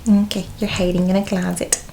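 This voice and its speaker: A woman's voice: fairly low pitch, level tone, brisk, gravelly, noisy background. A woman playing a self-important, condescending senior law partner on the phone in a theatrical ASMR comedy role play. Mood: puzzled, questioning. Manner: theatrical, condescending, calling people darling.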